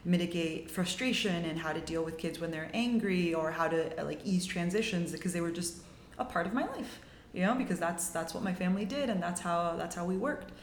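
A woman's voice: fairly low pitch, a little fast, roomy sound, slight background noise. A young woman in her twenties from Mexico, telling her story as a podcast guest in a chatty, upbeat way full of "like"s and restarts. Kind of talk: casual storytelling.

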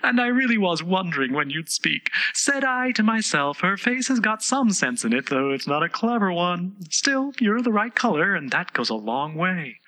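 Man putting on a high-pitched, prim talking rose. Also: sing-song, moderate pace, hardly any pauses, sharp tone, full character voice, poor audio. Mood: prim, frank. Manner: prim, forthright, condescending. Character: prim, critical, chatty female talking rose.

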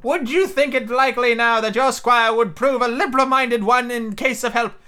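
A man voicing a half-crazed old male castaway, eager and sly, in a high, cracking old-sailor voice. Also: steady tone, moderate pace, sharp tone, clean tone. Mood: shrewd. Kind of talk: amateur read-aloud character voice.

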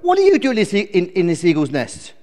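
A friendly little storybook sparrow, acted out by a man, chirps in a very high, bouncy voice. He is eager and polite. Long reverb trails the words. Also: huge pitch swings, fast, a little mellow. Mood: cheerful, curious. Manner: cheerful, chirping, apologetic. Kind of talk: children's storybook character voice.

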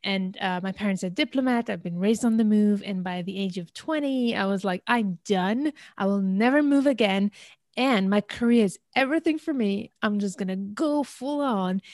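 A woman's voice: mid-range voice, normal speed, darkish tone, dry recording, studio quality. A woman in her thirties from Algeria, raised in many countries, telling her story conversationally and with animation as an interview guest. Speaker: interview guest, business consultant.

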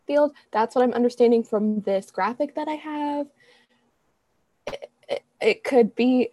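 Chatty young woman, mellow dry voice. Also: a little high, no room sound. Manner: rambling, self-correcting, chatty with frequent fillers. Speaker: podcast co-host. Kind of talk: casual chat.

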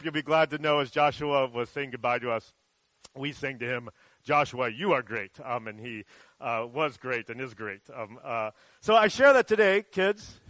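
A man's voice: medium pitch, a bit bright, no echo, low-quality recording. A man who is a pastor, preaching a church sermon aimed at children and telling a story in a casual way with many ums and uhs.

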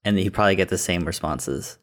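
A laid-back man co-hosting a casual chat, mostly agreeing and adding joking asides. His voice sits somewhat low for a man. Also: brisk.

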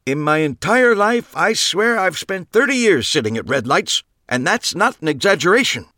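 A man voicing an older male magician who is gravelly, boastful and complaining. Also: high voice, very animated, normal speed, sharp tone, dry recording, clean studio sound, pitched above the performer's own voice, heavily acted. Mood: griping.

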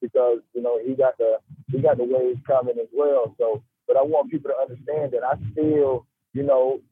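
A man giving a casual radio interview as a guest, talking haltingly and peppering his speech with "you know" and "man". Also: medium pitch, steady tone, slightly rough, no echo. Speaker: guest, rapper and music business man. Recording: tinny phone line.

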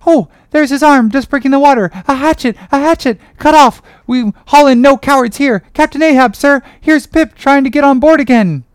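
A woman voicing a crazed, pitiful young ship's boy in a dramatic audiobook, excitable, chanting and pleading. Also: sits high, moderate pace, hardly any pauses, no room sound, studio quality, lightly acted. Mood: frantic. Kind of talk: dramatic audiobook character reading.

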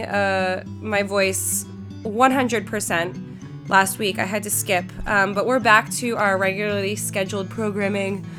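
A young woman hosting a podcast, upbeat and chatty with many "um" fillers, her voice congested from a flu. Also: mid-range voice. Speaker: podcast host. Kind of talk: podcast intro and interview.

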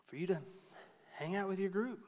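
A man's voice: high-pitched, brisk, a little mellow, long reverb tail. A man in his thirties preaching a sermon to a church, conversational and storytelling with self-deprecating humor. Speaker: pastor.

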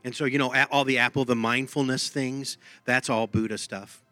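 A man's voice: mid-range voice, steady tone, normal speed, bright, forceful tone, no echo, soft background music. A man with a Texan accent preaching a sermon in an emphatic, conversational way, often repeating his phrases. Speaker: pastor.

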